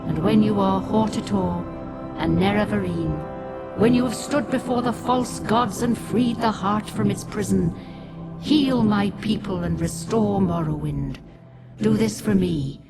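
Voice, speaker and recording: An actress playing a regal, solemn video game goddess who speaks slowly and formally, commanding her chosen servant. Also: mid-range voice, close to an everyday voice. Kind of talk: video game dramatic monologue. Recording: loud music behind the voice. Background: loud game music.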